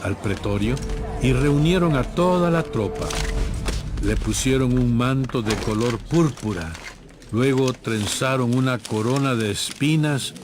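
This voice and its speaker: A man's voice: medium pitch, unhurried. A man reading scripted, dramatized Bible narration. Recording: music bed behind narration.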